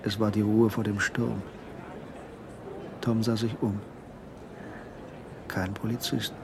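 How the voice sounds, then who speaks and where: A man narrating a radio drama in a measured, suspenseful, scripted delivery. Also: fairly low pitch, level tone, background noise.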